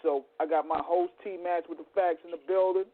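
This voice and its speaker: A man's voice: high voice, steady tone, fairly quick. A man hosting a casual internet radio show, greeting listeners and reading sponsors in a hype, slangy way. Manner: hype, slangy, shout-outs. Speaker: podcast host. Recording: tinny phone-like audio.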